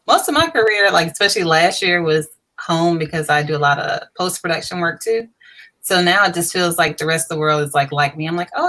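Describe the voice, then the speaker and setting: A woman guest who makes films, chatting in a relaxed way over a video call. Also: fairly low pitch. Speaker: filmmaker guest. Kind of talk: casual chat.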